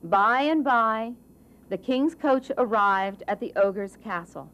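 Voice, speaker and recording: A woman narrating a children's storybook tale for a puppet show with measured, dramatic phrasing. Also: fairly high pitch, lively intonation, a bit bright.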